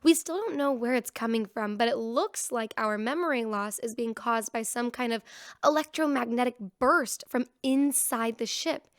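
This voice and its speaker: A woman's voice: on the high side, normal speed, dry recording, studio quality, lightly acted. An actress playing an eager female scientist explaining things in a sci-fi radio drama. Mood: excited. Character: excited female scientist, a doctor aboard a spaceship.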